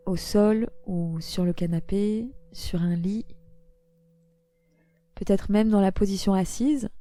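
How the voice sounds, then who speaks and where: A woman guiding a relaxation meditation in a soothing, gentle way, leaving pauses between her invitations. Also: mid-range voice, a little hiss or hum. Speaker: yoga nidra guide. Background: meditative music with ringing tones.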